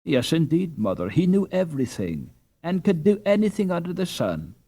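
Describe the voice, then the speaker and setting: A man's voice: fairly high pitch, sing-song, moderate pace, warm tone, voice pushed up. A man voicing an earnest, devoted peasant boy in a storybook, speaking in an eager, exclamatory way. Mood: eager, admiring.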